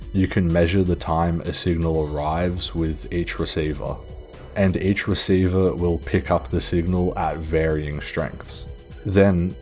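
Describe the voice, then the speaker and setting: A man's voice: low-pitched, a little mellow. A young man narrating a documentary-style video in a casual, informal, sweary and self-deprecating way. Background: background music.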